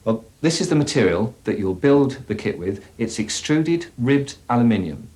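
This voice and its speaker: A man giving a measured, matter-of-fact explanation as a company representative in an instructional sales film. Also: mid-range voice, lively intonation, a little mellow, close to an everyday voice.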